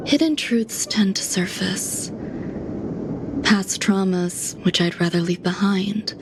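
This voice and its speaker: An enigmatic woman scientist from a video game, played by an actress, calm and detached. She speaks in formal, measured phrases with soft breath and thoughtful pauses, at a medium pitch. Music plays clearly behind her. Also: close to an everyday voice. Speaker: game character voice actor. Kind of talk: scripted game dialogue, slow reflective monologue.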